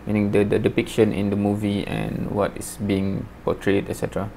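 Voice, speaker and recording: Reaction host, male, lower voice, monotone and hesitant, faint hiss. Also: brisk, gravelly. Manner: hesitant, many fillers, flat delivery. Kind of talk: casual reaction commentary.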